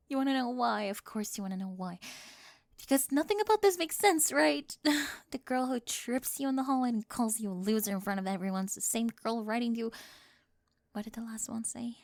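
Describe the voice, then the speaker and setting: A woman voicing a flustered, defensive teenage cheerleader bully with a secret crush in an ASMR roleplay, halting and nervous, often dropping toward a whisper. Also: a little high, gravelly, no room sound, studio quality. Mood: shy, confessing. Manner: halting, self-interrupting, nervous laughs, drops into whispers.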